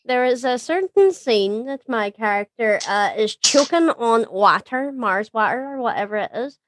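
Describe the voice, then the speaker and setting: Giggly woman, higher-pitched, in podcast chat. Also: expressive, unhurried. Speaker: voice actor. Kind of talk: casual podcast anecdote.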